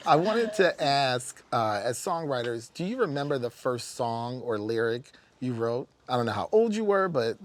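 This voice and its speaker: A man's voice: mid-range voice, very animated, moderate pace, hardly any pauses. Man hosting a roundtable interview. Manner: friendly, asks open questions. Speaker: interview host.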